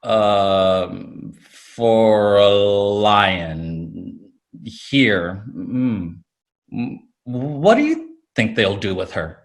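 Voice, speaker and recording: A man playing a gentle, apologetic circus colleague, hesitant and sympathetic, in a staged play reading. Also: fairly low pitch, lively intonation, extremely slow, exaggerated voice. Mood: doubtful. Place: remote, on a video call.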